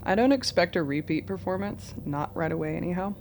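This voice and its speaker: A woman's voice: fairly low pitch, lively intonation, a little fast, a little mellow, a little hiss or hum. A woman playing a wry, teasing psych ward resident with a breezy manner in a radio play.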